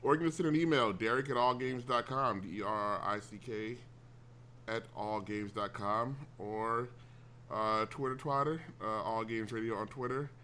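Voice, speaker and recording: A man's voice: fairly low pitch, a bit bright, slight background noise. A man hosting a casual call-in radio show, teasing and joking with a caller. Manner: teasing, joking with his mother.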